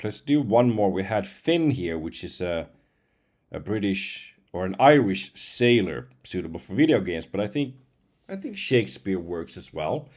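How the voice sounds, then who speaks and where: A man in his forties from Finland, a slightly hoarse voice-over artist giving a casual demo. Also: sits low, very animated, a little slow, darkish tone. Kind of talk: casual demo talk to camera. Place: indoors at home computer, seen wearing headset.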